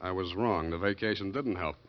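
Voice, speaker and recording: An actor playing a sardonic, fast-talking private detective in a punchy, exasperated 1940s radio style. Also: male voice, low-pitched, close to an everyday voice. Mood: dry, impatient. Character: fast-talking, wisecracking, hard-boiled male private detective. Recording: muffled vintage 1940s radio recording.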